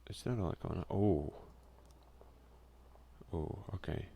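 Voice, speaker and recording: Low, creaky-voiced man doing ASMR gaming. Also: slow pace, mellow. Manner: reads game text aloud, mutters offhand asides. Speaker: let's play host. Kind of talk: ASMR gaming commentary, soft-spoken and casual.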